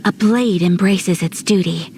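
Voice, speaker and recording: A woman's voice: on the low side, measured pace, warm tone. A woman playing a composed, courteous swordswoman with poised, formal phrasing in a video game. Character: composed, courteous swordswoman, philosophical about her blade. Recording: game music behind the voice. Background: game music.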